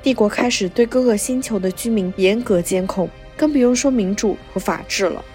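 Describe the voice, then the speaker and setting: A woman with a mid-pitched voice narrates a scripted story recap as a podcast host. Faint music plays behind her. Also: brisk, darkish tone.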